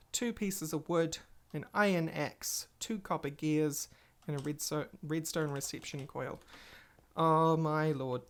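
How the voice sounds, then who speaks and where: A woman hosting a casual game playthrough, chatting and rambling to viewers while she plays, a little stuffy from a cold. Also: deep voice. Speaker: gaming channel host. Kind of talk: casual Let's Play commentary.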